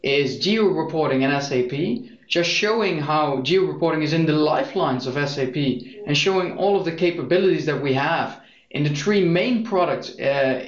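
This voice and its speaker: A young man with a Dutch accent, presenting a software webinar in an explanatory way with frequent fillers. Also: a little high. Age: young adult. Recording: echoey muffled lo-fi audio.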